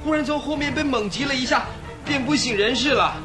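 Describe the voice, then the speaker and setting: An actor in a radio drama playing a young rich gentleman, indignant, agitated and emphatic. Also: extremely high pitch, brisk. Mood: aggrieved. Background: music.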